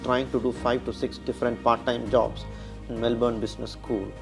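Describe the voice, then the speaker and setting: A man's voice: mid-range voice, level tone, creaky, over music. A man with a heavy Indian accent, telling stories as an interview guest and often checking in with "right?". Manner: ends many sentences with a questioning "right?"; fast talking. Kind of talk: conversational interview storytelling.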